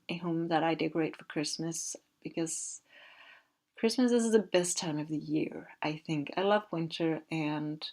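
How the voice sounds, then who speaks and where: A young woman from Denmark, a Danish native speaker, chatting softly and hesitantly with many fillers while she narrates a building session. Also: on the low side. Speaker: Sims builder and YouTuber. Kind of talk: casual voice-over while building in a game.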